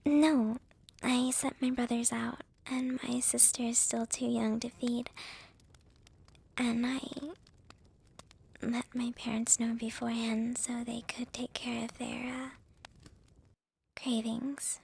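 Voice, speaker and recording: A woman playing a shy, gentle young vampire with a crush in a whispered ASMR roleplay, speaking softly and haltingly with a breathy voice. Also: fairly high pitch, level tone, full character voice. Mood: apologetic, awkward. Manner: hesitant, halting, soft-spoken.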